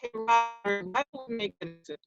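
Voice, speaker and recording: A woman's voice: fairly high pitch, moderate pace, loud music behind the voice, lightly acted. A woman playing an earnest, bookish, conciliatory friend in a play reading, choosing words hesitantly. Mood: earnest, careful. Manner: hesitant, careful phrasing. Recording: dull lo-fi close recording.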